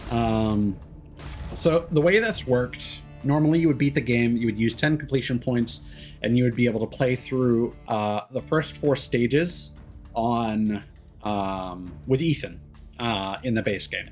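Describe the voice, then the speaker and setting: A man giving casual live game commentary, rambling and thinking aloud with hesitant pauses. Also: medium pitch. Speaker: game streamer. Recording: game music behind the voice. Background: game music.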